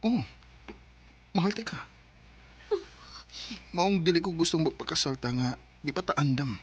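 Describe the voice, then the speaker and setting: An actor with a Cebuano Filipino accent playing an earnest, apologetic young sweetheart in a radio drama, with a husky voice. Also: male voice, on the high side, animated, a little slow, muffled recording. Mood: pleading, tender. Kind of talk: Bisaya radio drama acting.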